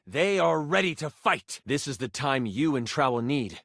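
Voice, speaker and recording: Animated, dramatic game master. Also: male voice, medium pitch, moderate pace, creaky, no echo, studio quality. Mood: intense, encouraging. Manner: dramatic, storytelling. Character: dramatic male fantasy game master narrator. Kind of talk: theatrical scripted narration.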